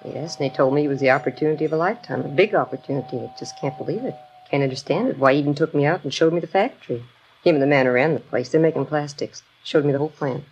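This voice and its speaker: Confused trusting shop lady in old 50s radio drama, rattles on fast, bit of hiss. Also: low voice, a little mellow, lo-fi sound. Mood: bewildered. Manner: chatty, bewildered, rambling. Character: bewildered, trusting small-shop owner, swindled woman. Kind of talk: 1950s radio crime drama acting. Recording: noisy old radio recording.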